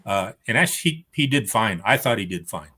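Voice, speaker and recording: A man with a fairly low voice chats casually on a panel. He speaks in a measured, reflective way, as if thinking through each opinion. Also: sing-song, pushed and bright, husky. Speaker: roundtable panelist. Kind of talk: casual chat about films.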